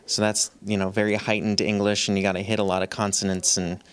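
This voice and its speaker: A man's voice: lowish voice, little pitch movement, smooth. A young man, a student actor, answering interview questions in an easygoing way with some fillers. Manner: easygoing, a bit halting with fillers.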